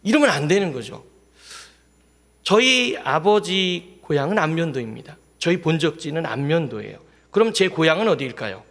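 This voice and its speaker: High-voiced male pastor preaching in a church. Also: moderate pace. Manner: lively preaching with questions to the congregation. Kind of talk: sermon. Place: church sanctuary. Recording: long hall reverb in a church.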